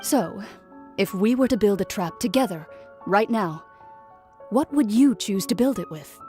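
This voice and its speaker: An actress playing a serious, blunt young officer in a video game, speaking precisely and matter-of-factly. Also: female voice, medium pitch, lively intonation, measured pace, warm tone, faint music behind, lightly acted. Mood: explanatory.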